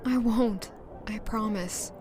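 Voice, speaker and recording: A woman's voice: medium pitch, slow, noisy background, close to an everyday voice. A woman playing a tender, hopeful young woman in an audio drama, speaking earnestly and wistfully. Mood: soft, reassuring. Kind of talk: audio drama narration and dialogue.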